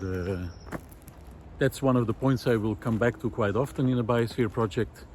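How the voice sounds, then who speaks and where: A man speaking a reflective, unscripted monologue, thoughtful and halting as he searches for words. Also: on the low side, darkish tone. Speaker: host of a nature project podcast. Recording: outdoor city noise, wind, distant traffic. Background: faint city noise, wind, vehicles.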